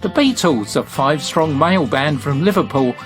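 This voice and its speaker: A male radio presenter with a fairly high, very animated voice. He delivers playful scripted links for a music show, with some music faintly behind him. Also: moderate pace.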